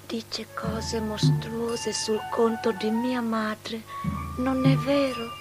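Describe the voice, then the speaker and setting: Languid high-voiced young princess. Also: female voice, flat delivery, over music. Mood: hushed curiosity. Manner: languid, hushed, drawn-out delivery. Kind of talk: stylized theatrical radio drama.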